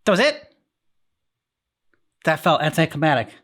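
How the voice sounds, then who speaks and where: A man hosting a reaction show, talking casually and haltingly as he thinks aloud. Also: on the high side, sing-song, fairly bright tone. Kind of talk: casual discussion.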